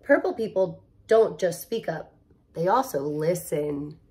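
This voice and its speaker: A woman in her thirties reading a children's picture book aloud like a teacher, expressive, with chatty asides straight to young listeners. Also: on the low side, a little slow. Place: living room at home, indoors.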